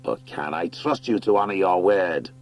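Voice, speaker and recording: A man's voice: sits low, moderate pace, lo-fi sound, soft background music. Wildcat conqueror villain for fantasy audiobook, male reader acting: proud, smug, scheming, grand in his speech. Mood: proud challenge.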